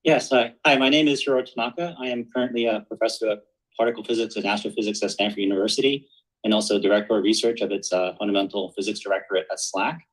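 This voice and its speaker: A man's voice: fairly low pitch, fairly even intonation, fast talker, low-quality recording. A man from the United States, a physics professor, introducing himself on an online panel with frequent repeated words. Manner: stammering restarts.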